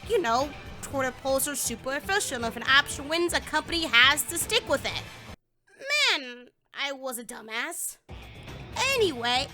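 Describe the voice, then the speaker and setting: A young American woman giving a scripted but casual game review in an emphatic, sarcastic, sweary way, as an online reviewer. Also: sits high. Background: background music.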